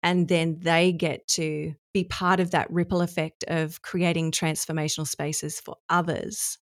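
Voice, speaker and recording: A middle-aged woman with a South Australian accent, chatting as a podcast guest in a warm, reflective way and laughing easily. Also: deep voice, little pitch movement, unhurried, dry recording, clean studio sound. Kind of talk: casual interview chat.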